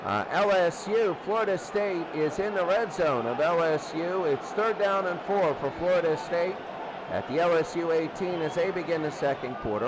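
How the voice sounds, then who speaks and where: High-pitched male football commentator, noisy background. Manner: excitable on big plays. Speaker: play-by-play sports commentator. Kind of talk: American football game commentary. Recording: clear background noise.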